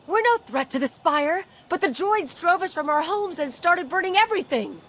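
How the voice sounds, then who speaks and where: An actress playing a frightened, innocent civilian refugee in a video game, speaking in distress. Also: female voice, extremely high pitch, lively intonation, normal speed, fairly bright tone. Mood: distressed, indignant.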